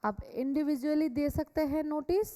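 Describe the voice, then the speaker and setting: High-pitched Indian woman teaching, echoey room. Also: steady tone, normal speed, dark tone. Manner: instructional, repeats key points, frequent check questions. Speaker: teacher of a company law class. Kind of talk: classroom lecture mixing Hindi and English. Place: classroom with students also attending online. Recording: echoey hall-like room reverb.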